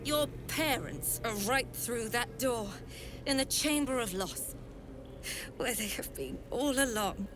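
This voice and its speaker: Imperious, menacing cult matriarch, slow-spoken. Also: female voice, on the high side, music in the background. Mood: taunting. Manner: imperious, deliberate, coldly taunting. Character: haughty, menacing middle-aged female cult mother superior. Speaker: villain in a fantasy video game. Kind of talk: theatrical video game dialogue.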